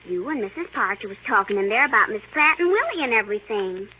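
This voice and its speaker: A woman's voice: mid-range voice, big pitch swings, quick pace, lightly acted. An actress playing a blunt, nosy little girl who loves to tattle, in a 1930s radio comedy. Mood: secretive, smug. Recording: tinny, noisy 1930s radio broadcast.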